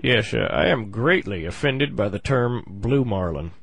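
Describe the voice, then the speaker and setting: A man with a gravelly voice making a comic prank phone call, halting and full of mock-earnest complaint. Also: lowish voice, animated, no echo. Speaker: prank caller on a comedy show.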